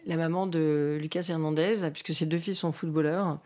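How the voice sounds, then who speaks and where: A woman's voice: low-pitched, steady tone, normal speed, warm tone. A woman hosting a TV panel discussion, talking emphatically with frequent fillers. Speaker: talk show host.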